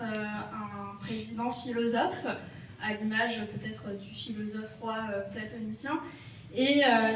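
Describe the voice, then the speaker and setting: A woman's voice: on the high side, distant mic. A woman from France with a French accent, answering from the audience and hesitating often. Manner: hesitant, many filler sounds. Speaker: audience member asking a question. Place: lecture hall audience. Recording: echoey hall with crowd noise.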